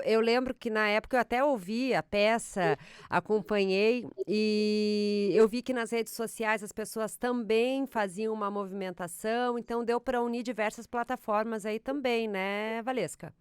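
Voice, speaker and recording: A woman's voice: a little high, normal speed, smooth, no echo, studio quality. A Brazilian woman hosting a podcast, asking guests friendly questions that prompt them to talk. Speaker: podcast host. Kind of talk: interview questions.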